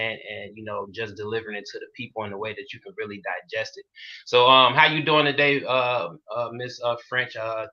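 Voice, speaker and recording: A man's voice: on the low side, sharp tone, muffled recording. A man from Chicago hosting a casual online interview, rambling with many fillers and repeated words. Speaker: host.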